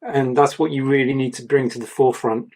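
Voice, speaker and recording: A man reviewing an artist's portfolio, talking in a rambling, repetitive way, his voice going a little croaky. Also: mid-range voice, fairly even intonation, fairly quick, darkish tone, low-quality recording. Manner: rambling, repeats words, gives advice. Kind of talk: casual mentoring chat.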